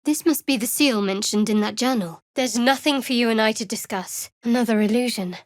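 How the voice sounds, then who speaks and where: A woman playing a guarded, sardonic young female cleric of a dark goddess in a video game, with a dry, wry delivery. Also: on the high side, fairly quick, no room sound, close to an everyday voice. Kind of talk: video game character dialogue. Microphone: close studio microphone, dry.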